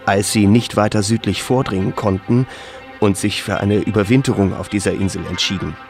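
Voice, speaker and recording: A man reading scripted literary narration as the narrator of a radio play. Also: lowish voice.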